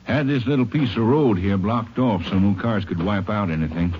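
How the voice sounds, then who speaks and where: Actor as a folksy, low-voiced Texas sheriff. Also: male voice, moderate pace, close to an everyday voice. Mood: doubtful, questioning. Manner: folksy drawn phrasing, laconic. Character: plain-spoken, folksy male Texas county sheriff. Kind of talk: 1950s radio western drama acting. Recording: dull old radio recording with clear hiss.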